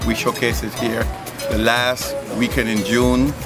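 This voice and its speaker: Mid-pitched man, formal welcoming tone, music in background. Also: flat delivery, a little slow. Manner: formal, announcing. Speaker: carnival organizer. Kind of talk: formal address and meeting talk.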